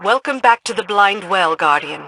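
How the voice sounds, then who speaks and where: A woman playing a brisk military commander in a video game, commanding and dryly witty. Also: medium pitch, animated, bright, forceful tone, no room sound. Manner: commanding, dry wit. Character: brisk, wry female military commander serving a queen. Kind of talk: video game character acting.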